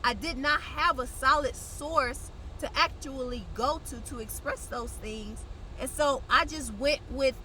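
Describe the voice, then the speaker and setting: Congested, bright-voiced young woman vlogger. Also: high-pitched, unhurried, a little hiss or hum, poor audio. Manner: blunt, conversational, uses slang. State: congested, nasal. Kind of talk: casual direct-to-camera chat.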